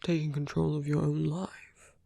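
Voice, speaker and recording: A man playing a suave, persuasive villain in an ASMR roleplay, speaking in measured rhetorical questions and slipping into near-whispers. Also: on the high side, level tone, vocal fry, mellow, no echo. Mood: coaxing, persuasive. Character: suave male villain, persuasive, teasing, self-justifying. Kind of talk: ASMR roleplay audio drama.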